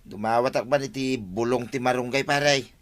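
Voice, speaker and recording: A man's voice: mid-range voice, fairly even intonation, a little fast, lightly acted. A man playing a cheeky, wheedling neighbour who asks favours, in an Ilocano radio comedy drama.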